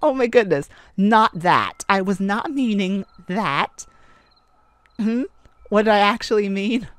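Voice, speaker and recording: A woman playing an excitable, affectionate mage sweetheart in a romantic ASMR roleplay, with a gushing, easily flustered, rambling manner. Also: medium pitch, slow pace, no room sound, lightly acted. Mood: playfully scandalized.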